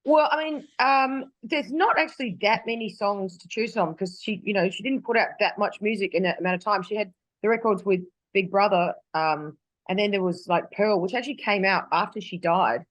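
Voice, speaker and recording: A woman's voice: mid-range voice, moderate pace, dry recording, poor audio. Australian woman singer, dry close sound. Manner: chatty, rambling with restarts and fillers. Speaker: singer guest. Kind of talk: casual interview chat. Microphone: video call.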